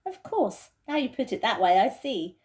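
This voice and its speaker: A woman's voice: fairly high pitch, very animated, normal speed, darkish tone, voice pushed up. Woman acting a frank, emphatic schoolboy leader. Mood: frank, decisive. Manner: emphatic, old-fashioned schoolboy exclamations. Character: schoolboy older brother, frank, leading, indignant.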